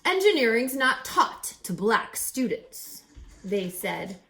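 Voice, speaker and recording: Lady doing a storytime read-aloud, slow and super expressive, kinda high voice, really stresses phrases. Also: creaky. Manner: theatrical, deliberate storytelling with emphatic phrasing. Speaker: reading teacher reading a picture book aloud. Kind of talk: expressive children's read-aloud of rhyming verse.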